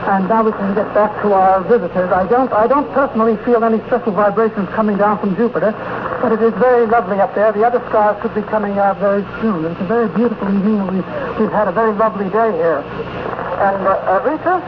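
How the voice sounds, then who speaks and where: A man working as a radio interviewer on location at a convention, asking questions in a formal, deliberate way. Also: sits high. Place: outdoors at a farm in the evening. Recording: noisy, dull 1950s outdoor radio recording.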